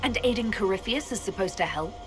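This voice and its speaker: A woman's voice: medium pitch, animated, quick pace, noisy background, natural voice. An actress playing a composed woman leader in a fantasy video game, direct and questioning. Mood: accusing. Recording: music behind the voice.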